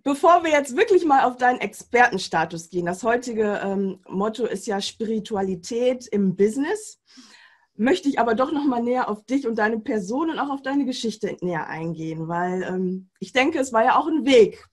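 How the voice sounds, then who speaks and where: A woman in her forties hosts an interview over a webcam from a room at home. Her voice is fairly high and breathy, and her talk is eager, with many hesitations. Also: animated, normal speed. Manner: warm enthusiastic with many fillers. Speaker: interview host, mindset coach. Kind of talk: video call interview.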